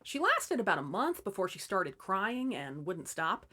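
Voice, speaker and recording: A woman's voice: medium pitch, sing-song, unhurried, pushed and bright. A woman hosting and narrating fairy tales as scripted comedy, with wry, irreverent asides. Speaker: host and storyteller.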